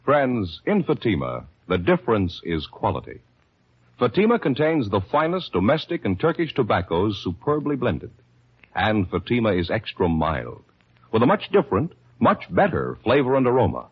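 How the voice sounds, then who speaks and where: A man announcing a scripted 1950s radio advertisement as an emphatic sales pitch. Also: wildly swooping pitch, normal speed, husky. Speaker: commercial announcer. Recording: dull old radio recording.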